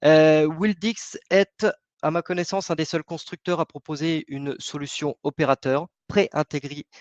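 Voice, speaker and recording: A man's voice: on the high side, fairly even intonation, normal speed, dry recording, muffled recording. A man giving a product presentation in a webinar, hesitant, with many fillers and restarts.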